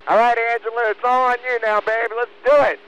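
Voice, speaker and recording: Quick-talking man on noisy race radio. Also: extremely high pitch, little pitch movement, a bit bright. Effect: team radio. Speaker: race crew member. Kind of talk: casual team radio talk.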